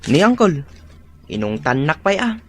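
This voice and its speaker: An actor playing a neighbourly village cousin in a radio drama. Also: male voice, on the high side, sing-song, measured pace, slight background noise. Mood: matter-of-fact. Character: neighbourly cousin come to pay for bamboo. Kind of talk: Filipino radio drama acting.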